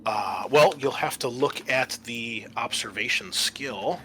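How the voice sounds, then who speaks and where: A man working as game master, narrating a tabletop horror game in a halting, explanatory way. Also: medium pitch, fairly bright tone, a little hiss or hum.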